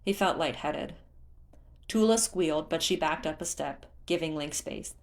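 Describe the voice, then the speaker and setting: A woman reading a story aloud in an expressive, scripted narration style. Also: sits low, moderate pace. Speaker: fan fiction narrator.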